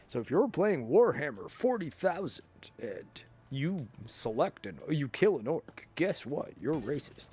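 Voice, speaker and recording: Animated, higher-pitched man chatting casually. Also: measured pace, breathy edge. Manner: animated, joking, often breaking into chuckles. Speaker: livestream host. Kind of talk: casual rambling chat to stream viewers.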